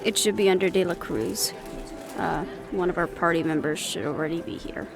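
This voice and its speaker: A woman's voice: on the low side, normal speed, creaky, over music. A woman acting in an audio roleplay as a sardonic, nervous young woman who mutters dry asides to her mate. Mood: nervous muttering.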